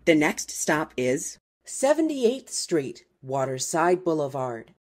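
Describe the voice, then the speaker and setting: Female transit announcer, low register, formal segmented script read, animated intonation. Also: takes it slowly. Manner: formal, segmented phrasing pieced from clips. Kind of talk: scripted automated train station announcement.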